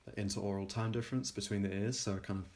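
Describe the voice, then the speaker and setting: A man with a low voice narrates an informal technical demo as the developer. He is hesitant, often pausing halfway through a sentence before carrying on with the explanation. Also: steady tone, darkish tone. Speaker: plugin developer presenting a demo.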